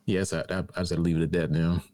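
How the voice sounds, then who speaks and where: Deep voiced guy talking real fast, slangy and sweary music chat, dry close sound. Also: level tone, warm tone, no room sound. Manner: profane, loose, conversational. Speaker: panelist on an album review.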